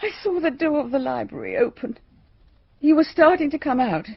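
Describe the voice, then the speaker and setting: An actress playing an agitated, guilt-stricken society woman in a radio drama, halting and confessional. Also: sits high, expressive, vocal fry, dry recording. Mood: guilty, confessing. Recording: dull, boxy radio drama recording.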